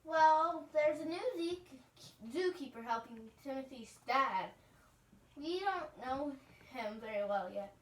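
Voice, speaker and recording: A young girl voicing a sweet, cheerful farm lamb puppet, reading scripted children's show lines haltingly. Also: high voice, animated, slight background noise. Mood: cheerful, earnest. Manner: halting, stumbling read-aloud delivery. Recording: roomy, distant home recording.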